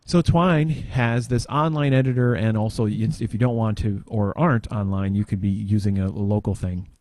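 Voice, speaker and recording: A man giving an informal software demo, halting and tangential as he thinks aloud in casual talk. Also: lowish voice, no echo. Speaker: podcast panelist demonstrating software.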